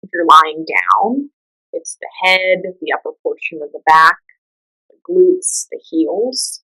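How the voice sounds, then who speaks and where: A woman with a slightly low-pitched voice guides a meditation. She speaks in a soothing, conversational manner, offering gentle instructions and leaving the listener room to follow without hurry. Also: lively intonation, takes it slowly, poor audio.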